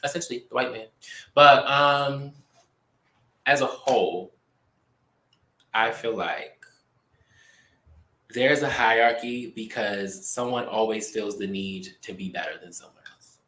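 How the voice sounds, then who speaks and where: Animated man co-hosting in an echoey room. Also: mid-range voice. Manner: animated and expressive, drawn-out emphasis. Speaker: podcast co-host. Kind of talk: casual chat.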